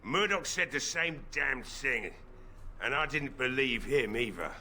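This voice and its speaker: A man's voice: mid-range voice, expressive, unhurried, pushed and bright, slight background noise. A man voicing a grieving, drunk middle-aged village blacksmith who sounds weary and bitter. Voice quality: bitter, weary, slurring drink-talk. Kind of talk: video game voice acting.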